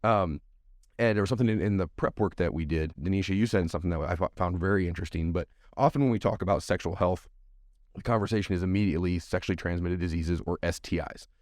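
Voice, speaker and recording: A man hosting a podcast, hoarse and gravelly from allergies, chatty and upbeat with a rambling flow. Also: low voice, quick pace, darkish tone, no room sound, clean studio sound. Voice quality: gravelly, scratchy. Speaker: podcast host.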